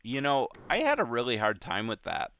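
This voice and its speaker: A man hosting a casual book discussion, thinking aloud informally in halting bursts. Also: medium pitch, huge pitch swings, gravelly, a bit bright, a little hiss or hum. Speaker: book club podcast host.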